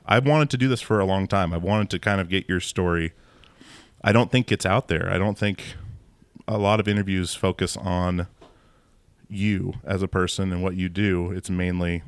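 A man's voice: deep voice, fairly even intonation, normal speed, no echo. A man hosting a podcast, asking friendly, rambling questions in a casual interview, recorded indoors at a theater venue. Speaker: podcast host.

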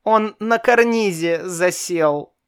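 A man's voice: high-pitched, slow pace, smooth, pitched above the performer's own voice. A man voicing a frightened eight-year-old boy in a storybook reading, timid and whimpering. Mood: scared.

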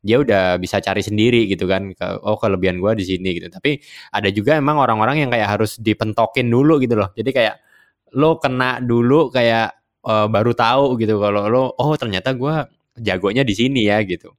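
Male podcast host, relaxed, lower voice, dry close recording. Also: brisk, no echo. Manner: relaxed, prompting others to share. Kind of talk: casual podcast chat.

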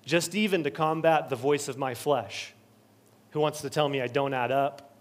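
Male pastor, higher-pitched, preaching with earnest building repetition. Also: moderate pace. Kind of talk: sermon. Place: church sanctuary.

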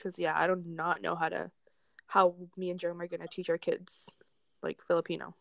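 A young married woman co-hosting a podcast, chatting casually with giggles and hesitant pauses. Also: fairly low pitch, level tone, gravelly, fairly bright tone, dry recording. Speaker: podcast co-host. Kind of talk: casual chat.

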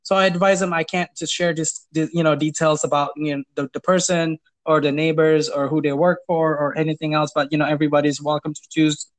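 A man's voice: a little high, flat delivery, a little fast, hardly any pauses, rough recording. Hesitant man telling property tales. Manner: hesitant, many fillers and restarts; amused, chuckles while telling. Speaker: guest, property manager. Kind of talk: casual storytelling interview.

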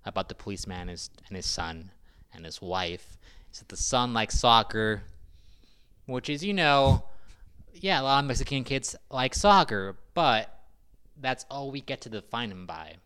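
A man's voice: mid-range voice, expressive, moderate pace, a bit bright, smooth. A man co-hosting a casual film discussion podcast, with a dry, skeptical and contrarian manner. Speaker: podcast co-host.

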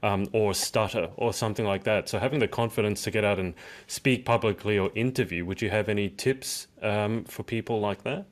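A man hosts a casual interview podcast and keeps the talk moving with questions. He often chimes in with short "yeah"s and "mm"s to back up his guest. His voice sits on the lower side for a man. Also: brisk. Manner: supportive, frequent short backchannels.

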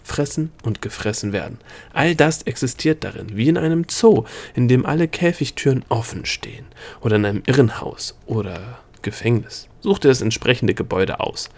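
A man's voice: medium pitch, animated, moderate pace, gravelly, a little mellow. A man doing a cackling, ranting gnome. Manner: cackling, lecturing, worked up into a rage. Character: excitable male gnome with color-changing scales.

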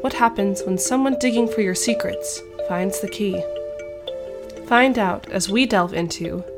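A woman's voice: mid-range voice, unhurried, over loud music, natural voice. A woman narrating the intro of an urban fantasy audio drama in a mysterious, dramatic style. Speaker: series narrator.

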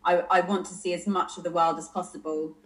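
Animated woman, podcast guest. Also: lowish voice, brisk, poor audio. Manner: animated storyteller, self-deprecating, laughs mid-sentence. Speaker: podcast guest, social media manager. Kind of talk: casual chat.

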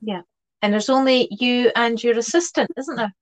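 A woman's voice: fairly high pitch, normal speed. Woman co-host asking chatty questions. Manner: friendly, questioning, with small restarts. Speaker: podcast co-host, speech therapist. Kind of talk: conversational interview.

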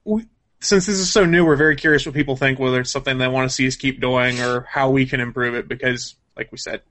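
A man's voice: medium pitch, fairly even intonation, quick pace, a bit bright. A man hosting a podcast in an informal, joking, chatty way. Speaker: podcast host. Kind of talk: casual chat.

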